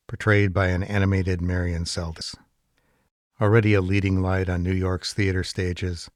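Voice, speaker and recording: A man's voice: sits low, no room sound, studio quality. A man presenting a program in a scripted documentary narration style.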